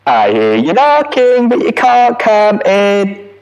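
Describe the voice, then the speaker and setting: A man's voice: sits high, huge pitch swings, slow pace, lo-fi sound, voice pushed up, heavily acted. A man doing an unhinged mare in sing-song. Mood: manic sing-song. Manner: sing-song, manic, swearing.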